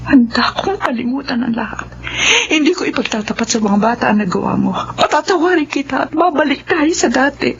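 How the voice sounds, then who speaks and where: A woman acting as a middle-aged wife and mother in a radio drama, earnest and pleading, later warm and grateful. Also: high voice, lively intonation, brisk, creaky. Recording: muffled radio broadcast audio.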